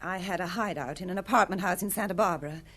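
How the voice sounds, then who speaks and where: A woman's voice: on the low side, fast talker, fairly bright tone. A woman playing a retired film star in a radio drama, imperious and defensive, with formal, troubled delivery. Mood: confessional, troubled.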